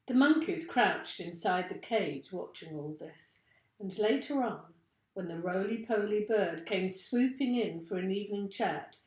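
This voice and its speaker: A woman reading a children's story aloud like a teacher, with expressive, deliberate narration. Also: medium pitch, echoey room, poor audio.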